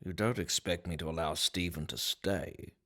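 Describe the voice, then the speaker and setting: A self-important Victorian doctor with a deep bass voice. He is brusque and callous, performed as period radio drama. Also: moderate pace, a bit bright. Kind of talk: period radio play acting.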